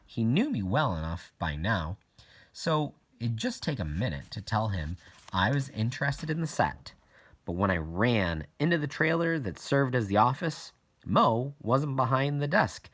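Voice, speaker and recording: Guy reading a kids audiobook, really slow, voice goes up and down a lot. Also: medium pitch, big pitch swings, fairly bright tone. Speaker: audiobook reader. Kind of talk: expressive scripted narration of a children's novel.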